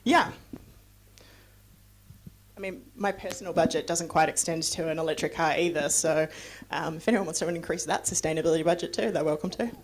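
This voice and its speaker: A middle-aged woman from New Zealand gives a loose, conversational talk on sustainability and takes audience questions. Her voice sits a little low, and she keeps it casual with fillers and side remarks. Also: fairly quick, a little hiss or hum, poor audio. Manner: chatty and loose, with frequent fillers and asides. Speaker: guest speaker on sustainability.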